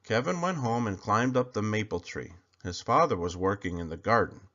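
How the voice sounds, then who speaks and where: Animated man reading a children's book. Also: on the low side, normal speed, lo-fi sound. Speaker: read-aloud storyteller. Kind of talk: expressive children's picture book narration.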